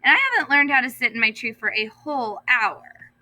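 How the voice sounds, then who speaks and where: A woman's voice: on the high side, very animated, a little fast, sharp tone, no room sound, voice pushed up, exaggerated voice. A woman voicing a polite, earnest young schoolgirl from a storybook in a careful, matter-of-fact way.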